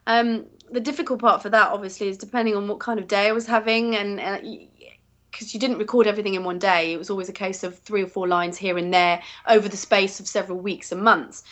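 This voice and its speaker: A woman's voice: medium pitch, fairly even intonation, fast. An English woman, a voice actress, chatting casually in an interview and rambling through fillers and restarts. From England.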